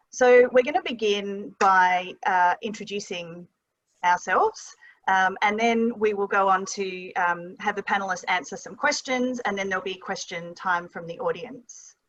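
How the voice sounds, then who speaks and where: A person in their thirties with an Australian accent, moderating an online panel informally, with frequent ums and uhs. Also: female voice, mid-range voice, fairly bright tone. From Western Australia. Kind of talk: relaxed, hesitant panel hosting. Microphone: video call. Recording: dull lo-fi video call audio.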